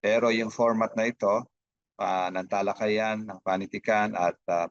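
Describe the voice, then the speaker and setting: A Filipino man giving unscripted opening remarks as a host, halting often with hesitations and broken-off words. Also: fairly low pitch, little pitch movement, dry recording, lo-fi sound. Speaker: host, institute director.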